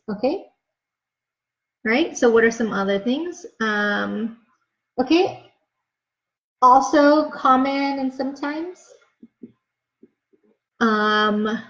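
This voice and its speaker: A young woman teaching an English lesson, explaining words patiently and checking in with "okay?" after each point. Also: fairly high pitch. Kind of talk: slow, clear ESL lesson explanation. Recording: slightly dull, lo-fi sound.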